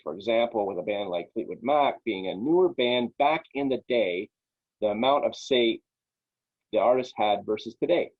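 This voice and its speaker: A man's voice: medium pitch, husky, no echo, dull audio. A man hosting a casual panel over a video call, rambling with many fillers and restarts. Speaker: panel host.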